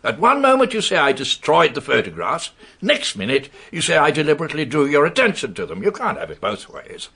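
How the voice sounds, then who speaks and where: A British gentleman suspect in a radio drama, evasive and indignant. His voice is fairly high and forceful with a rough edge, and his pitch moves about in a lively way as he fends off accusations with sardonic articulacy. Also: brisk, exaggerated voice. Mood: scornful, defensive.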